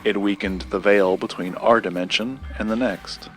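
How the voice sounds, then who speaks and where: A man acting in an audio drama as a secretive collector, recounting a dark legend in a measured, deliberate way with dramatic pauses. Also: fairly low pitch, steady tone, soft background music, close to an everyday voice. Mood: grave, mysterious.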